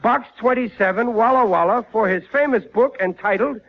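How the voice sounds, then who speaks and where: A man's voice: extremely high pitch, normal speed. An actor playing a stubborn, easily fooled American family father in 1940s radio comedy, blustering, stammering and exasperated. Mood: reading aloud. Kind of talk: 1940s radio sitcom acting. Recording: muffled vintage 1940s radio recording.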